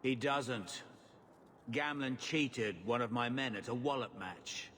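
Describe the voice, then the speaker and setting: Slow-talking blunt mercenary captain. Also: male voice, medium pitch, a bit bright. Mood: dry, bargaining. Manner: gruff, unhurried, matter-of-fact. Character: blunt, tough, self-assured mercenary captain, male. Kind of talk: video game dialogue acting. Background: music.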